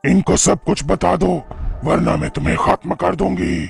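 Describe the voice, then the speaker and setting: A man's voice: high-pitched, steady tone, normal speed, creaky, husky, full character voice. A man voicing a vengeful female ghost who speaks in threats and commands in a horror cartoon. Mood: threatening. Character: vengeful female ghost possessing a young woman, menacing.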